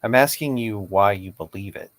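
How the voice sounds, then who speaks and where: A lowish male voice of an amateur reader as an attorney taking a deposition. He questions formally and deliberately, pressing the witness for a direct answer. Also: a bit bright, no room sound, natural voice. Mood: insistent. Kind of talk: amateur read-aloud of a deposition transcript.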